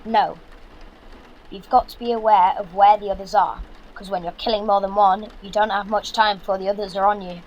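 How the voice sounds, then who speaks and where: A man's voice: high-pitched, flat delivery, brisk, lo-fi sound, slight background noise, lightly acted. A young man playing a practical, bossy British son in an audio drama, speaking in an earnest, instructive way. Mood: coaching, urgent. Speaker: actor.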